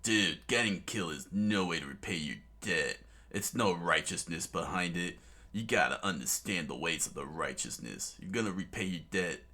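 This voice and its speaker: A man's voice: deep voice, expressive, moderate pace, sharp tone, exaggerated voice. A man voicing a laid-back young pirate captain with a drawling surfer-dude manner. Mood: lecturing. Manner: drawling, says dude a lot.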